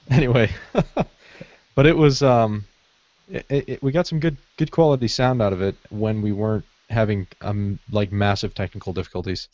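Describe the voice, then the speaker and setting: Male podcast co-host, lowish voice, casual anecdotal storyteller, slightly boxy lo-fi audio with room echo. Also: steady tone, moderate pace, darkish tone. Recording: dull lo-fi audio, roomy echo.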